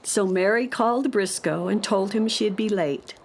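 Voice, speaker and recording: A woman's voice: mid-range voice, moderate pace, gravelly, slight background noise, poor audio. A woman narrating a scripted children's story as the narrator of an audio drama.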